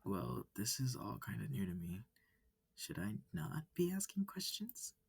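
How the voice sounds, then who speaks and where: A man voicing a wary, confused human student in a visual novel, halting and skeptical. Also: medium pitch, very animated, vocal fry, slightly rough, no echo, voice pushed up, heavily acted. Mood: annoyed, demanding. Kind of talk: visual novel character reading.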